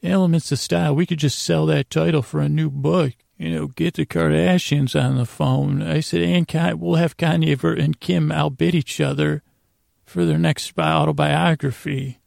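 A man hosting a bedtime podcast in a creaky, gravelly voice, meandering and halting, trailing off and circling back with deadpan goofy nonsense. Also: a little high, no echo, clean studio sound. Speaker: podcast host. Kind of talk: rambling bedtime sleep podcast monologue.

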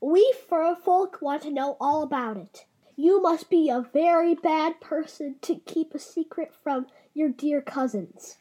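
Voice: high-pitched, unhurried, warm tone, pitched above the performer's own voice. A storyteller voicing nosy, menacing small woodland animals in a chattering, squawking manner. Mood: prying.

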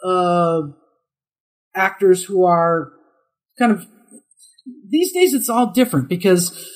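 A middle-aged man with a high tenor voice, a guest voice actor telling chatty anecdotes in an interview, laughing often and acting out the quotes. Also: takes it slowly, poor audio. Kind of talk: casual interview storytelling.

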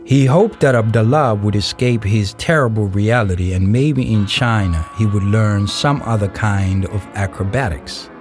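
A man reading scripted narration for an audio play in a measured storytelling way. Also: fairly low pitch, a little mellow. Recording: music behind the voice. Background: music.

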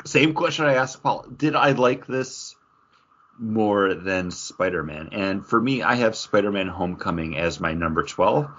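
A man's voice: lowish voice, lively intonation, measured pace, a bit bright, muffled recording. A man co-hosting a podcast, discussing films in a casual, conversational and somewhat hedging way. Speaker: podcast co-host.

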